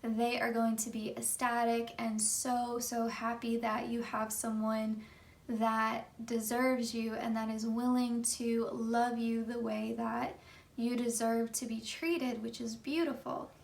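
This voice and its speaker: A woman reads tarot cards for her viewers, talking freely and filling her sentences with little asides. Her voice sits fairly high and has a slight morning hoarseness. She sounds a bit set back from the microphone. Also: flat delivery, slow pace, recorded from a distance. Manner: chatty, many fillers like "you know". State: hoarse from just waking up. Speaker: tarot reader. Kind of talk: pick-a-card tarot reading.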